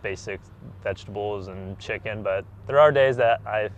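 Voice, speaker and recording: Young American man, lowish pitch, college athlete in a casual interview, earnest and unpolished. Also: normal speed, lo-fi sound. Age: young adult.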